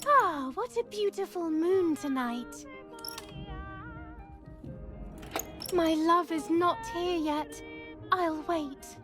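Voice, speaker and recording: A loving, naive young maiden in a dark game's puppet legend. The voice is extremely high and slowly paced, with halting theatrical delivery over clear music. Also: female voice. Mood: dreamy, hopeful. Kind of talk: dramatic puppet-show acting in a game. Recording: music behind the voice.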